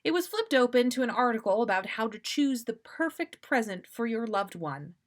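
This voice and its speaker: A woman's voice: fairly high pitch, moderate pace. A woman hosting a livestream, reading a story aloud in an amused, casual yet theatrical way. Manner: amused, expressive delivery. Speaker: podcast host.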